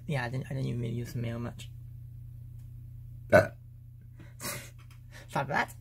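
A man's voice: medium pitch, fairly even intonation, normal speed, creaky, noisy background. A teenage boy filming himself at home on a phone, playfully announcing each step of making lunch in a mock-serious way. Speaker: vlogger. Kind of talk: playful step-by-step cooking vlog. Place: home kitchen and bedroom, indoors. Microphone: handheld phone camera.

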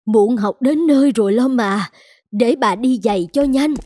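Woman as a mellow, humble elderly cartoon housekeeper. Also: fairly high pitch, normal speed, dry recording, faint music behind, lightly acted. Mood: humble, pleading. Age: older. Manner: humble, coaxing. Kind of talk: scripted cartoon dialogue.

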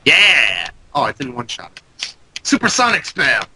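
A man's voice: medium pitch, huge pitch swings, normal speed, gravelly, noisy background. A congested man giving joking, profane commentary while playing a video game with a friend. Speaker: let's play co-host. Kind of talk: casual gaming commentary. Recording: loud keyboard typing behind. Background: computer keyboard clicks.

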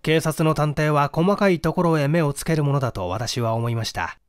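A man's voice: on the high side, brisk, smooth, no echo, studio quality. A man reading a first-person mystery story as scripted narration, with careful, theatrical storytelling and acted dialogue. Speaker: audiobook reader.

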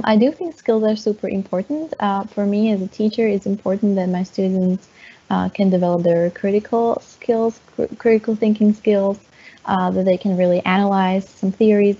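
A woman lecturer and therapist answering on a video call, measured, often repeating words as she thinks. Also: medium pitch, mellow. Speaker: teacher and therapist. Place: room at home. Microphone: wired earphone mic on a video call. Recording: dull lo-fi video call audio.

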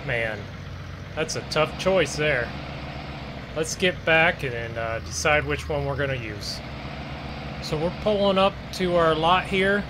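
A man hosts a gameplay video in a casual, conversational manner. His voice sits a bit high and he talks very slowly, leaving pauses. Faint vehicle noise runs behind him. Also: expressive. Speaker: gaming video host. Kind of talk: casual role-play gameplay commentary.

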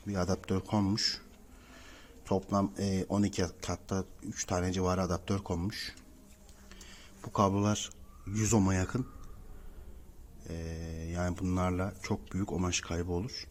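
Low-voiced man in an echoing hallway. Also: little pitch movement, unhurried, long pauses, darkish tone. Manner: explanatory, addressing viewers directly. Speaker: repair technician presenter. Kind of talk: informal on-site repair walkthrough. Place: indoors, apartment building hallway by cable shafts. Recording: reverberant stairwell, clear background noise.

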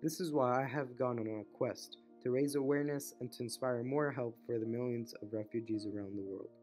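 A man's voice: mid-range voice, steady tone, brisk, darkish tone. A teenage male student narrating a documentary in an earnest, read-aloud voice. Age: teens. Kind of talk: scripted narration. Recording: voice-over over background music.

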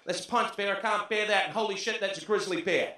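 A man in his thirties with a New Zealand accent doing a brash, sweary stand-up set into a handheld mic, shouting his punchlines. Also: high-pitched, fast. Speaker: stand-up comedian. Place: comedy club stage, brick wall. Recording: echoey club room.

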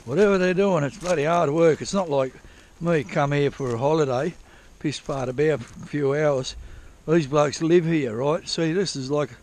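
A man's voice: fairly high pitch, moderate pace, hardly any pauses, a little mellow. A man rambles through a walkthrough of old diggings, correcting himself and swearing casually as he goes, recorded outdoors on a head-mounted camera. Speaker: prospecting vlogger. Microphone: head-mounted action camera.

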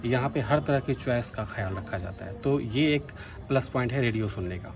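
A man's voice: mid-range voice, fairly quick. A man from Bihar, India, talking casually and earnestly as a radio hobbyist making a vlog. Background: music from a radio.

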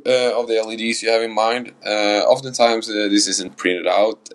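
A man with a Scandinavian accent narrating a how-to voice-over about his sailboat, hesitant and full of fillers. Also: lowish voice. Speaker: sailboat owner vlogger.

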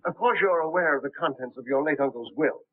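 A man's voice: a little high, fast, lightly acted. An actor playing a stern, suspicious man in charge, questioning curtly in a 1930s film. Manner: curt, interrogating. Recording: muffled old 1930s film soundtrack.

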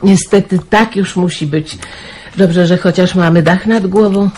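An actress playing a weary, kindly middle-aged aunt in a wartime radio drama, sighing and resigned. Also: female voice, on the low side, fairly even intonation, measured pace, warm tone, slight background noise, close to an everyday voice. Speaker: aunt raising her niece.

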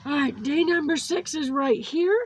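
A woman hosting a casual craft video, her voice worn from losing it after surgery, slowly chatting and cooing over small crafts. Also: high-pitched, a little hiss or hum. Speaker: crafting channel host. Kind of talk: casual unboxing chat. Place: indoors at a tabletop craft setup.

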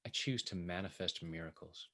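A man working as a tapping coach, leading guided affirmations in short, measured phrases meant to be repeated. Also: sits low, bright, forceful tone.

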